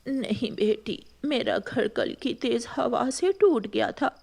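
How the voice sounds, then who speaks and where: A woman voicing a gentle, kindly and plaintive old female dove in a cartoon. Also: fairly high pitch, expressive, slow pace, gravelly, full character voice. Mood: sorrowful.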